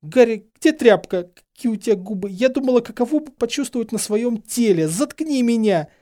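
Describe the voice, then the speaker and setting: A man's voice: sits high, wildly swooping pitch, fairly quick, darkish tone, pitched above the performer's own voice. A man voicing a distraught, bossy and anxious teenage witch girl in an audiobook, whimpering and exclaiming. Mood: tearful, flustered. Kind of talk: dramatised fan fiction audiobook.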